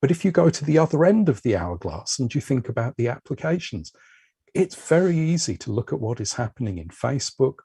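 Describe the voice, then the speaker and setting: A man giving a measured, explanatory talk as a panel speaker on a video call. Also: mid-range voice, mellow, breathy edge, dry recording. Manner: measured, explanatory, uses metaphors.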